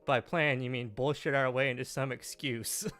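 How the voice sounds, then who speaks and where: Bright-voiced, cheeky man at a gaming table. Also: mid-range voice, fairly even intonation, normal speed, soft background music. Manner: teasing, cheeky. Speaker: player in a tabletop roleplaying game. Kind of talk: casual in-character banter.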